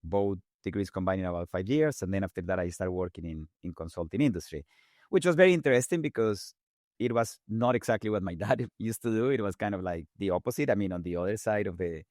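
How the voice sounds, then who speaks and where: A man in his forties with a Colombian Spanish accent talks fast and eagerly, often restarting phrases. His voice sits low. The recording is dry, with no room sound. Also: no echo. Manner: fast-talking, animated, repeats words while restarting. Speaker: guest engineer. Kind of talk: casual interview talk.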